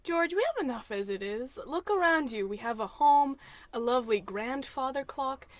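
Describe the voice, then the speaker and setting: A woman's voice: high voice, expressive, normal speed, no room sound. A woman playing a devoted, sensible young suburban wife in a parody old-time radio drama, genteel and melodramatic.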